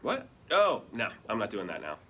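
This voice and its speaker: A man's voice: fairly low pitch, huge pitch swings, moderate pace. An actor playing an earnest, lovestruck man in his thirties, eager and sheepish, in a sitcom table read. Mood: smitten, eager. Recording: noisy live room recording.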